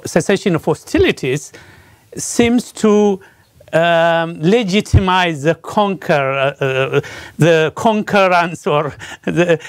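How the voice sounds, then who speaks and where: A man's voice: a little high, gravelly, clear, resonant tone, no room sound, studio quality. Male interview host. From Ethiopia. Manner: hesitant, many fillers. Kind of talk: interview questions.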